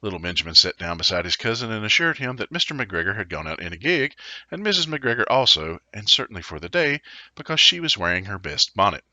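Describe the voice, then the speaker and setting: Very deep, bright-voiced male storyteller. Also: fast talker, no echo. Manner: animated, theatrical storytelling. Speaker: storybook reader. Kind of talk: expressive children's story narration.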